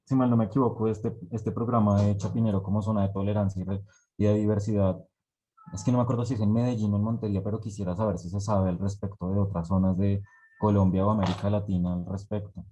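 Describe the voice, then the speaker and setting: A man with a low, flat, mellow voice asks a question in a rambling, unsure way. He keeps circling back as he searches for the details. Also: fast, poor audio. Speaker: online event attendee. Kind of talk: question in a video call.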